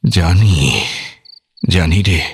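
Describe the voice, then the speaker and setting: Slow-spoken ghost of an old schoolteacher. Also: male voice, low-pitched, lively intonation, gravelly, slight background noise, full character voice. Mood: weary, wounded. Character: ghost of a kindly, wronged old male schoolteacher. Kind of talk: audio drama acting.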